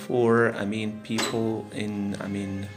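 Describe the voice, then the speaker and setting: A man's voice: on the low side, flat delivery, takes it slowly, over music. A man teaching informally, explaining step by step and often checking that listeners follow. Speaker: teacher, moderator. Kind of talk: informal classroom explanation and questioning. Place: classroom at a language centre.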